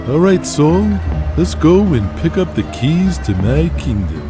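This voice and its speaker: A man's voice: a little high, sing-song, measured pace, music in the background, pitched above the performer's own voice. A man voicing a crude, swaggering, cigar-smoking mobster with a big ego in an audio drama. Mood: cocky.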